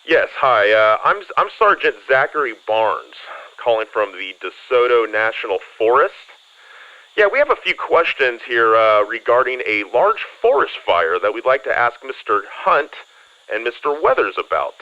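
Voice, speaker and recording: An actor playing a hesitant, officious forest sergeant phoning in to a radio show. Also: male voice, medium pitch, very animated, normal speed, no echo, lightly acted. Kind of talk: acted radio call-in. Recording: muffled caller line.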